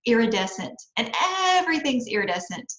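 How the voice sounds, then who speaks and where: A woman's voice: fairly high pitch, expressive, brisk. A woman speaking as a guest writing mentor, giving conversational advice over a roomy, muffled connection. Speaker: guest author, writing mentor and life coach. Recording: dull, roomy microphone sound.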